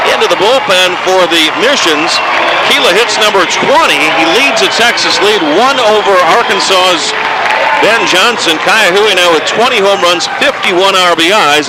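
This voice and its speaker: Male radio baseball announcer, highish voice, live play-by-play, outdoor stadium, clear crowd noise behind. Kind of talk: live sports commentary. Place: outdoor ballpark broadcast position. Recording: noisy outdoor ballpark with crowd. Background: crowd and stadium ambience.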